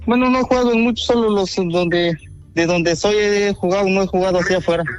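Earnest young Guatemalan man, highish voice. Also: fairly even intonation, a little fast, clear, resonant tone. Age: 20s. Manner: earnest, hesitant, brief answers. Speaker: phone caller, amateur footballer. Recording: phone call with music behind.

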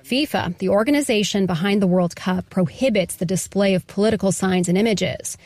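A woman's voice: mid-range voice, a little fast, a little mellow, no echo. A woman with an American accent, reading a scripted radio news report as the reporter.

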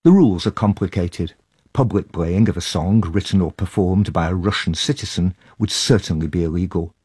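A man's voice: low voice, moderate pace, mellow, breathy edge, dry recording, clean studio sound. A man presenting a radio documentary, moving between scripted narration and interviews on location.

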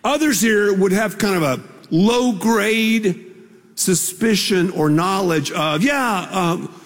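A man's voice: sits high, slow pace, long reverb tail. A man delivering a sermon, telling a personal story in a conversational way before building to emphatic points. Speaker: pastor.

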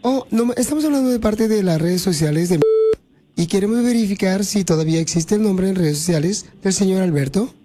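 A man's voice: sits high, a little fast. A man hosting a radio prank call, putting on a stiff official tone with a straight face. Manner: feigns a stiff official tone, deadpan.

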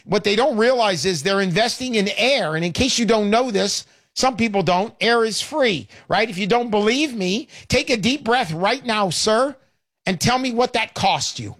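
A man in his sixties hosting a call-in radio show, talking in an energetic, emphatic, salesy way. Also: sits high, moderate pace, dry recording, clean studio sound. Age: 60s. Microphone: studio microphone.

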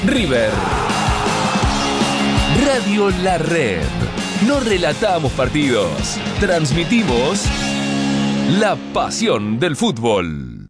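A man's voice: fairly high pitch, huge pitch swings, extremely slow, pauses often. A man with an Argentine accent announcing a scripted sports radio station promo. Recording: loud guitar music behind.

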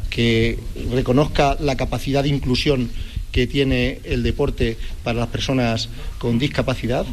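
Politician guy giving a statement, medium pitch, slight ambient noise. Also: steady tone, a little slow. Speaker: regional politician. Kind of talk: public statement.

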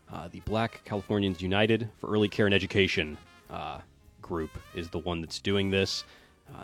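A man co-hosting a podcast, reading out news items in relaxed, hesitant chat. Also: fairly low pitch. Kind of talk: casual news rundown chat.

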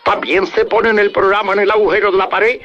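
A man doing a gravelly boastful cartoon cat. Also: high-pitched, level tone, fast talker, pushed and bright, muffled recording. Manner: cheeky, boastful, theatrical. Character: boastful cartoon cat, mouse hunter, TV star. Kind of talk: comedy sketch on radio.

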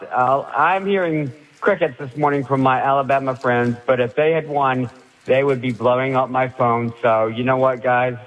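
A man calling in to a sports radio show with a head cold, an excited fan talking haltingly with many fillers. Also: medium pitch. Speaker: caller. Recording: dull phone-in line.

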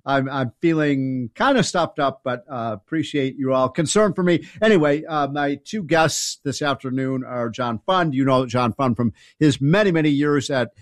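A man's voice: mid-range voice, dry recording, studio quality. A man hosting a talk radio show, sounding stuffed up from a summer cold, casual with frequent interjections. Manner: casual, quick interjections, some stumbles.

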